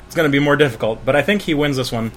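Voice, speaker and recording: A man's voice: fairly high pitch, rapid-fire, fairly bright tone, a little hiss or hum, poor audio. A man hosting a casual fan discussion, agreeable and steering the talk toward verdicts. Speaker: podcast host.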